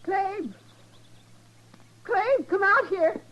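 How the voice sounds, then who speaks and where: A woman's voice: very high voice, extremely slow, a bit bright, slight background noise, full character voice. An actress playing an anxious frontier rancher's wife and mother in a 1950s western radio drama. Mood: anguished. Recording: muffled vintage radio recording.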